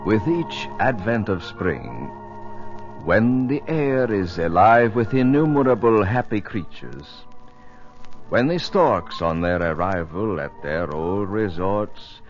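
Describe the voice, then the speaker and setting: An actor playing an earnest, wonder-struck dreamer of flight, speaking poetically in a 1950s radio drama. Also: male voice, fairly low pitch, expressive, slow pace, soft background music. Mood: rapturous, yearning. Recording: muffled old radio recording.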